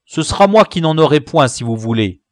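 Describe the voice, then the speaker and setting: An eager, generous little boy, played by a male storyteller, rushes his offer out, raised and lively. The voice skips along in pitch and sits dry and close to the mic. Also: dry recording, close to an everyday voice.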